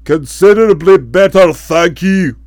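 A woman's voice: on the low side, a little slow, a little mellow, dry recording, clean studio sound, close to an everyday voice. A woman voicing a pompous, towering English aristocrat who is dazed after a fall, speaking in a stiff and formal way in an audiobook. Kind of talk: audiobook character reading.

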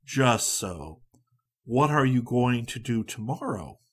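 A man voicing a solemn, self-possessed gentleman who speaks slowly and calmly with dry politeness. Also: mid-range voice, slightly rough, heavily acted. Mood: deliberate. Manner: slow, calm, dryly polite.

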